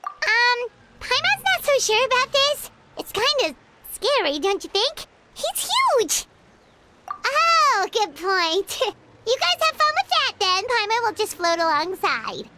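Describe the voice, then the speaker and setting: Teeny squeaky fairy mascot from a game, crazy high, swoops all over, excitable. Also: female voice, slow pace, very noisy, exaggerated voice. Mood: nervous. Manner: squeaky, talks about herself in third person. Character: tiny floating childlike fairy companion, excitable and timid. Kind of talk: video game voice acting. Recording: game music behind the voice.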